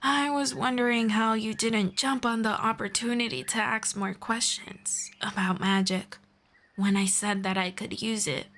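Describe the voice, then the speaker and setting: A friendly elf woman, acted by a female performer in a fantasy roleplay, with a fairly high voice and slow, measured delivery. She speaks gently and earnestly to a single listener in formal storybook phrasing. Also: fairly even intonation. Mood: thoughtful, earnest. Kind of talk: roleplay audio drama, speaking one-on-one to the listener.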